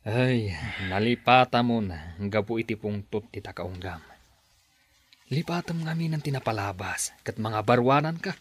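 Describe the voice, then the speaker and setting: A man's voice: on the low side, animated, normal speed, husky. A man as an easygoing friend. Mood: consoling. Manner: easygoing, calls his friend bro. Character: adult man, a friend who teases and consoles.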